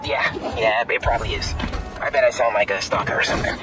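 A man's voice: fairly low pitch, fast talker, over music, close to an everyday voice. A man voicing an awkward, nervous teenage boy in a video game, hesitant and apologetic.

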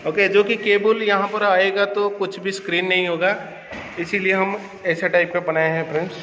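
A man's voice: high-pitched, flat delivery, moderate pace, a bit bright, in a large hall, muffled recording, a little hiss or hum. A man giving a casual walkthrough of his cable tray work in a large indoor server room, explaining step by step while filming on a handheld phone. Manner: explanatory, pointing things out step by step. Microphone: handheld phone camera.

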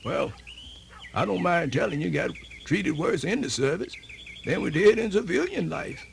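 An actor playing an old man from the American South, telling his life in a rambling, folksy, gravelly radio-theatre monologue with a Southern accent. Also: fairly high pitch, very animated, normal speed, a little hiss or hum, exaggerated voice. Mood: animated, reminiscing. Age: older. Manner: rambling, repeats himself, folksy.

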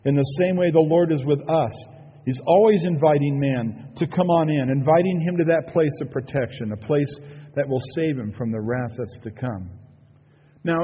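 A man's voice: mid-range voice, breathy edge, big-room sound, highs cut off. A male pastor giving an earnest, conversational sermon, often saying "you know". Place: church sanctuary.

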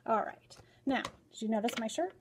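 A woman's voice: fairly high pitch, lively intonation, normal speed, slight background noise. An American woman in her forties, a school librarian, explaining things to pupils at a desk in a small room. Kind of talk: instructional chat to pupils.

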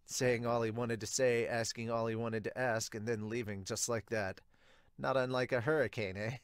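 A man's voice: mid-range voice, steady tone, normal speed, clear, resonant tone, dry recording, clean studio sound. A man in his thirties giving enthusiastic, casual reaction commentary as the host of a review channel. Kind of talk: casual reaction commentary with read-aloud dialogue. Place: small home room indoors.

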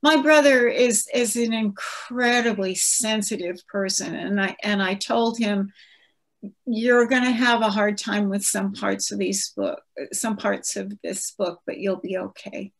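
A woman in her seventies, an author, talking reflectively about her family on a video call, gentle and with frequent restarts. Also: medium pitch, fairly even intonation, unhurried, gravelly. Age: 70s. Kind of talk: virtual book event conversation.

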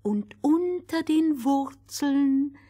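A woman voicing a kindly little fairy-tale man who speaks in a slow, solemn, drawn-out way. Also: high-pitched, expressive, mellow, voice pushed up, full character voice.

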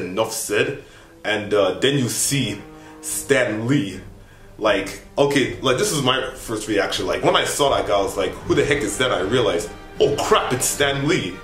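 A young man talking casually to camera in an animated, rambling, exclamatory way, as a vlogger giving a review. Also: mid-range voice. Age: young adult. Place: small indoor bedroom with bunk beds. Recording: echoey room, music behind. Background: music.

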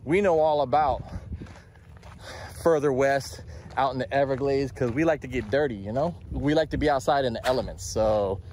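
A man's voice: mid-range voice, a little mellow. Fishing vlogger, male, 40s, chatty and upbeat, handheld camera outdoors, a bit winded, faint background noise. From South Florida. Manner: chatty, self-talking, upbeat. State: out of breath from heat. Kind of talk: casual outdoor vlog. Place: outdoors by a canal and in a truck. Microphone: handheld camera at arm's length.

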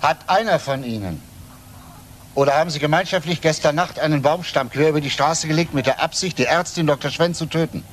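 An actor playing a persistent police detective in a radio crime drama, questioning formally and pointedly. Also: male voice, on the high side, a little fast, a little hiss or hum, lightly acted. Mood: accusing.

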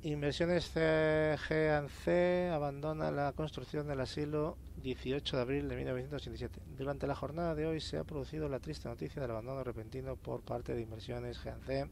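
A man's voice: medium pitch, gravelly, darkish tone, a little hiss or hum. A man with a Castilian Spanish accent giving casual, informal gameplay commentary as a let's play host. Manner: informal, sweary, grumbling to himself. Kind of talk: casual gameplay commentary, reads in-game notes aloud.

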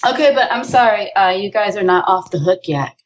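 A woman guest on a podcast chatting casually, bubbly and quick to laugh. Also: medium pitch, expressive. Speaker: guest actor. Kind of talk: casual chat over a call.

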